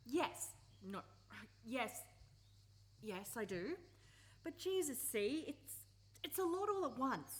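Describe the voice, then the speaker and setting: A woman's voice: high-pitched, big pitch swings, slow pace, pauses often, full character voice. A woman acting in a radio play as a conflicted, defensive young woman who speaks hesitantly and with emotion. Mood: flustered, defensive. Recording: faint background noise, live recording.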